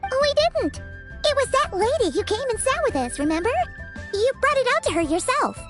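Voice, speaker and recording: A woman voicing a small, chatty, easily spooked fairy-like girl companion in a video game, with a childlike squeaky voice. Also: big pitch swings, moderate pace. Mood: baffled. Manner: refers to self in third person, exclamatory. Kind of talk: animated video game dialogue. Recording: music behind the voice.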